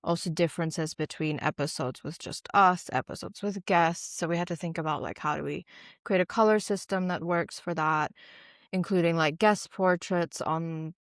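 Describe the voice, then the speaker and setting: A woman co-hosting a podcast in relaxed, chatty talk, explaining at length with many fillers. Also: lowish voice, normal speed, no room sound, clean studio sound. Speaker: podcast co-host. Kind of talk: casual chat.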